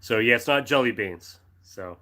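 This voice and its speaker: A middle-aged American man hosting a casual livestream, reading out viewer comments in a friendly, rambling way with restarts and throat clearing, his throat dry and a cough drop in his mouth. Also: medium pitch, normal speed, pushed and bright. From United States. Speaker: livestream host reading chat. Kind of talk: casual live chat.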